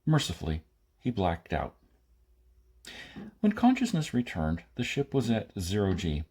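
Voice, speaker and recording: Dark, husky male audiobook narrator. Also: lowish voice, huge pitch swings, a little slow. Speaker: audiobook reader. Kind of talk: scripted narration of a science fiction story.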